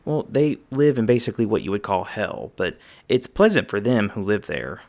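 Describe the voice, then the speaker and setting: Guy doing a laid-back wizard guide, deepish creaky voice, folksy asmr roleplay storytelling. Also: very animated, moderate pace, darkish tone. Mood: storytelling, thoughtful. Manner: folksy, patient explaining. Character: easygoing male magi guide, folksy, learned storyteller.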